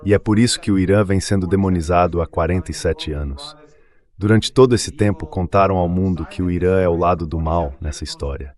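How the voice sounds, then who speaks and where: A man with a very low-pitched voice speaks as the guest in a political interview. It is a synthetic translated voice-over. The delivery is earnest and emphatic, stacking repeated phrases to make each point. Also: a little fast, darkish tone. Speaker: interview guest, commentator and professor. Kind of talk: AI-read translated dub of political commentary.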